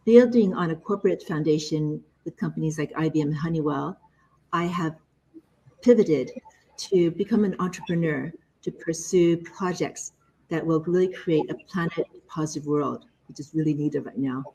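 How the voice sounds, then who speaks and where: Middle-aged woman, panelist, very low voice, warm mellow tone, confident. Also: moderate pace, breathy edge, poor audio. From United States. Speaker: panelist, entrepreneur. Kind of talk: self-introduction on a panel.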